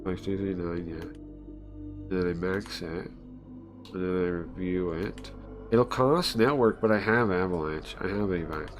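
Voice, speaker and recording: A man's voice: low voice, unhurried, faint music behind. A man livestreaming casually, thinking aloud, muttering to himself and repeating phrases as he goes.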